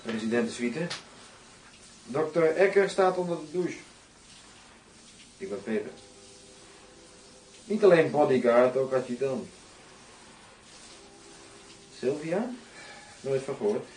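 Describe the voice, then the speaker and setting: An actor playing a cool, sardonic undercover bodyguard in a radio drama, dry and clipped. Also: male voice, medium pitch, animated, takes it slowly, long pauses, a little mellow, reverberant, distant mic, a little hiss or hum, poor audio. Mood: cool, guarded.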